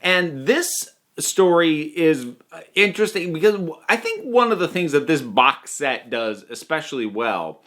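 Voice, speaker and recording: A man giving a conversational review, stressing words emphatically with dramatic pauses. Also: fairly high pitch, sing-song, fairly bright tone.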